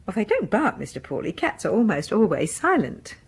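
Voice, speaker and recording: An actress in a British radio horror drama playing a timid, genteel, dreamy music lover, with a British accent and a hesitant, demure, confiding manner. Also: female voice, mid-range voice, huge pitch swings, a little fast, a little hiss or hum. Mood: prim, chatty.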